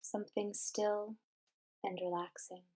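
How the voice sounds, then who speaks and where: Woman, medium pitch, guided meditation narration, measured, deliberate, instructional. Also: little pitch movement, a little mellow, low-quality recording.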